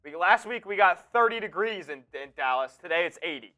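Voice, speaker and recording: A man's voice: sits high, lively intonation, a little fast, bright, forceful tone, soft background music. A man co-hosting a stream, chatting casually with a nasal, congested voice, rambling and joking with many restarts. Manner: rambling, joking, self-interrupting. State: congested from allergies. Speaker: co-host. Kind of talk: casual chat.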